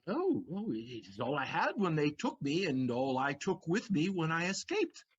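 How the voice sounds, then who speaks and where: An actor playing an emotional, witty young manservant in an audio play, pleading and theatrical. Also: male voice, on the high side, very animated, moderate pace, slightly rough, highs cut off. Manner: pleading, theatrical, laughs through tears. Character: emotional young male servant, witty and excitable.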